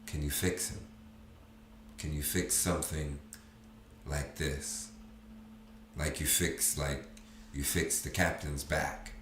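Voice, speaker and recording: Man doing a slurring, anguished drunk sergeant and father, deep voice, roomy echo. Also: steady tone, slow pace, pauses often. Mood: desperate. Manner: slurred, anguished, loud outbursts. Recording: roomy echo, faint background noise.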